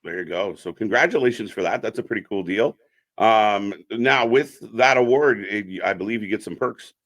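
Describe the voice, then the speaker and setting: Man, low voice, interview host, casual wrestling fan channel style, informal, repeats short affirmations. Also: moderate pace, a bit bright.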